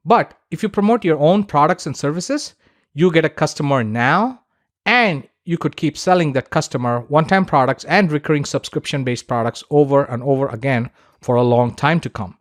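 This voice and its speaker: A man's voice: a little high, lively intonation, moderate pace, no echo, studio quality. A man delivering scripted instructional narration as a podcaster and teacher, in an emphatic, persuasive manner.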